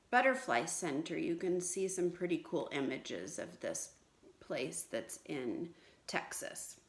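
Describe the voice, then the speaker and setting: The voice is a middle-aged American woman with an average pitch. She reads aloud as a teacher does for young children, explaining things simply. The room around her is noticeably echoey, as if recorded on a camera a little way off. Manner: clear, simple explaining with questions to listeners. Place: small indoor room at home, seated on a bench. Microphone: camera or phone a short distance away.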